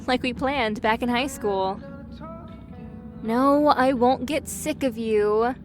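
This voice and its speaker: Woman acting chatty, high-voiced young best friend over music. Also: lightly acted. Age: young adult. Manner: chatty, hesitant, self-correcting, playfully teasing. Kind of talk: interactive audio roleplay acting.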